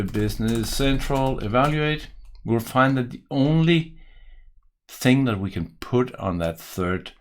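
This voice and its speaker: A man's voice: on the low side, slight background noise. A man in his forties from Denmark with a Danish accent, giving a casual coding tutorial and thinking aloud while he types, recorded at a desk in a home office. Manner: thinking aloud while typing, self-correcting. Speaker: programming tutor.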